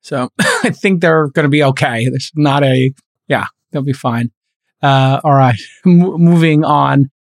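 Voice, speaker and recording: A man hosting a casual panel podcast, mocking and animated, often laughing. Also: mid-range voice, unhurried, dry recording, studio quality. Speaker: podcast host.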